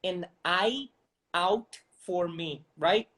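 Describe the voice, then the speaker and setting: A man's voice: high-pitched, very slow, fairly bright tone. Brazilian man teaching English, chatty. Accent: Brazilian Portuguese. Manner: switches between English and Portuguese, hesitant fillers. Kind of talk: bilingual casual lesson.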